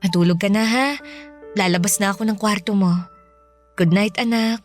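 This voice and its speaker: A woman playing a gentle, protective mother who speaks reassuringly in a radio drama. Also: mid-range voice, steady tone, moderate pace, faint music behind, natural voice. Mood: tender. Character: tender, protective widowed mother of two boys. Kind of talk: Filipino radio drama acting.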